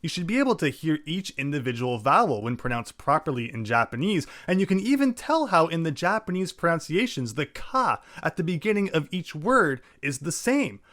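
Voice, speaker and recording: A man teaching a language lesson, explaining clearly and sounding out syllables slowly. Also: a little high, big pitch swings, a bit bright, no room sound, studio quality. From English-speaking. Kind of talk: scripted lesson narration.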